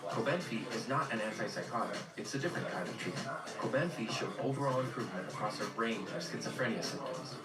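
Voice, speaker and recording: Man, ad voice-over, smooth, selling, distant echoing TV sound. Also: mid-range voice, lively intonation, fast talker, over loud music. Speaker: commercial announcer. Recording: TV ad heard from afar, roomy.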